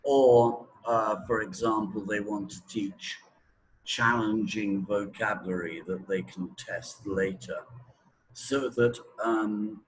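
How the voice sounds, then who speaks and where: A man of sixty, a native English speaker, explaining his views in an interview in a halting, deliberate way, as if thinking aloud. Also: on the low side, steady tone, slight background noise, low-quality recording. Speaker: teacher trainer in reading, interview guest. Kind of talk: interview talk, explaining opinions.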